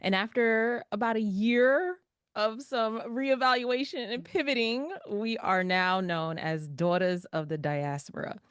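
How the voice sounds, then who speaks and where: A woman from New York City, a pastor, author and podcaster, telling her story as an interview guest with a lively, preacherly manner. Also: on the high side, measured pace, clear, resonant tone, dry recording, clean studio sound.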